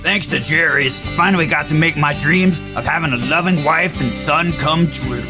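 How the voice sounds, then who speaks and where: A man's voice: a little high, normal speed, pitched above the performer's own voice, close to an everyday voice. A man playing a satisfied male customer giving a testimonial in a parody commercial. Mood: grateful. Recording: loud music over voice.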